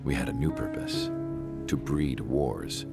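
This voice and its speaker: Game cast: weary older male scientist, extremely low and creaky, solemn confessional delivery, dramatic score underneath. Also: expressive, a little slow, over loud music, full character voice. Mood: grave. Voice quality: gravelly. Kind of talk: scripted dramatic narration.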